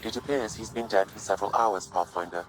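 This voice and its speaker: Guy voicing a calm formal ship ai in a game, lowish, quick, noisy background. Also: natural voice. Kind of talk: game dialogue acting.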